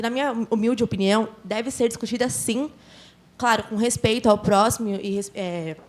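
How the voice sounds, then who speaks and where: A Brazilian woman putting questions to a panel, earnest and stumbling a little over her words. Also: on the high side.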